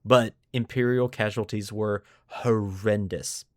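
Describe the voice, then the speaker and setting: A man's voice: lowish voice, steady tone, slow pace, no room sound, clean studio sound. A man hosting a podcast, mixing scripted lore narration with casual commentary and putting dramatic emphasis on key words. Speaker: podcast host.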